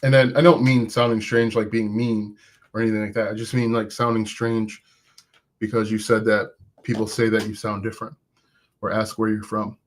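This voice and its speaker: A man's voice: on the low side, level tone, moderate pace. A man coaching viewers live about online business, talking conversationally and encouragingly with frequent restarts, and saying he has an accent of his own. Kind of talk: live-stream coaching, answering chat.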